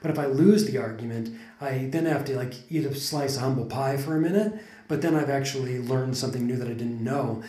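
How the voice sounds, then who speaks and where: A man's voice: medium pitch, a little fast, warm tone. A man co-hosting a podcast, talking things through thoughtfully with many restarts and fillers. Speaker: podcast co-host. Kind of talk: casual conversation. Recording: roomy echo.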